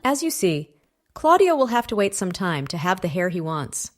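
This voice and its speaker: A woman's voice: on the low side, sing-song, normal speed, over music. A woman voicing a composed, helpful female puppet reporter in a fan-dubbed mock news show.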